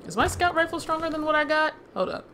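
A woman's voice: sits high, lively intonation, quick pace, sharp tone, a little hiss or hum. A woman casually commenting on her own gameplay, chatty and laughing, swearing, and talking to herself. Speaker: let's play gamer.

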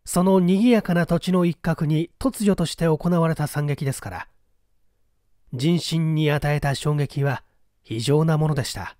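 A man reading a mystery story aloud as literary narration, in a measured, polite storytelling manner. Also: fairly high pitch, dark tone, dry recording, clean studio sound. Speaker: audiobook reader.

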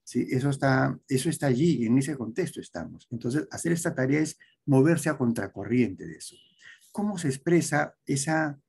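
Man, middle-aged, academic talk, dark mellow voice, webcam sound. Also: mid-range voice, animated, moderate pace, gravelly, breathy edge. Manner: lecturing, with frequent hesitations and tag questions. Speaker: conference speaker. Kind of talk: academic presentation. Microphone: webcam on a video call.

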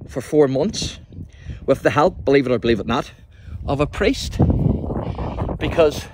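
A man with a Northern Irish accent giving a chatty, informal walking tour as a local history guide, speaking outdoors into a handheld phone. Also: medium pitch. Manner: chatty, addresses the viewer with tag questions. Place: outdoors in a churchyard by the sea. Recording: outdoor phone recording with background noise.

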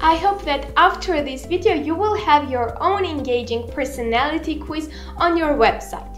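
A woman in her twenties giving a scripted, instructional software tutorial in a deliberate manner. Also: high-pitched. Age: 20s. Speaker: tutorial presenter. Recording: roomy echo, music underneath. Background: background music.

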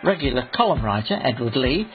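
A man's voice: medium pitch, big pitch swings, fairly quick, narrow, tinny audio, over music. A man reading scripted newsreel narration.

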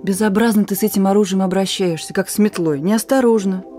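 A woman's voice: mid-range voice, quick pace, lightly acted. Woman playing young female partisan messenger, guarded, teasing, wistful, terse, radio drama, music behind. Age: young adult. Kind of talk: Russian radio drama acting.

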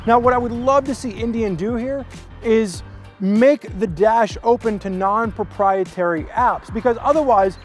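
A man's voice: high voice, measured pace, soft background music. A man in his thirties presenting a vehicle review outdoors, explaining things in a conversational way. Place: outdoors beside a motorcycle.

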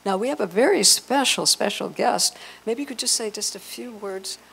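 An older woman speaks as host of a live event into a handheld microphone. Her medium-pitched voice has a marked creak, with faint room noise around it. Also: normal speed, a bit bright, rough recording. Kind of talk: live introduction to an audience. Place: hotel meeting room, indoors.

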